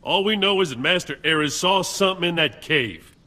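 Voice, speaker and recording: Animated, bright-voiced wry sickly man. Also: fairly high pitch, normal speed. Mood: wry, musing. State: frequent coughing fits. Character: sickly, wry, chatty male patient, Jedi's eager helper.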